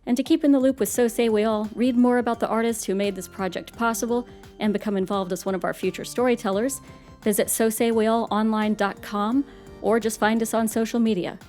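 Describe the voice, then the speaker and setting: Horror anthology hostess: American woman, dark mellow tone, arch and wry scripted delivery, music underneath. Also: fairly high pitch, steady tone, fairly quick. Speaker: host and producer of a horror radio play series. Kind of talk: scripted hosting and credits, theatrical horror-hostess.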